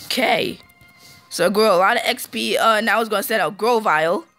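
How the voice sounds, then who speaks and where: A man with a hoarse, fading voice giving casual let's-play commentary, halting with ums and throat-clearing, recorded on a phone. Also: sits high, pushed and bright, faint music behind. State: hoarse, losing his voice.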